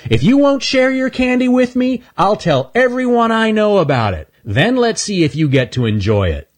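A man's voice: high-pitched, big pitch swings, moderate pace, voice pushed up. A man voicing a teasing young male squirrel who mocks and pressures a friend. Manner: mocking, wheedling.

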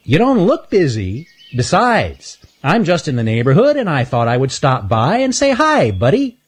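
A man voicing a cocky male chipmunk who teases breezily, in a raised, husky voice. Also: fairly high pitch, huge pitch swings, moderate pace, voice pushed up, heavily acted. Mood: teasing. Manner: teasing, breezy. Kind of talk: storybook character voice.

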